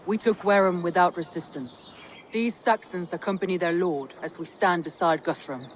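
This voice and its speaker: An actress playing a confident, loyal Viking woman commander who speaks in an assured, steady way, in a video game. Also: on the low side, moderate pace, slight background noise, natural voice.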